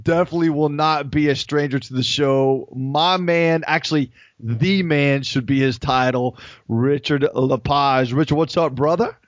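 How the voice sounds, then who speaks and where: A man's voice: a little high, a little slow, dry recording, dull audio. A man hosting a coaching podcast, introducing and questioning his guest in an upbeat, friendly, casual way.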